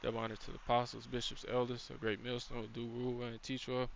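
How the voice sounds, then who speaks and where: A man's voice: mid-range voice, monotone, fast, gravelly, dull audio, slight background noise. A man giving a casual faith-based lesson, rambling and loose, dropping "you know" and "man" throughout. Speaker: channel host giving a faith-based teaching.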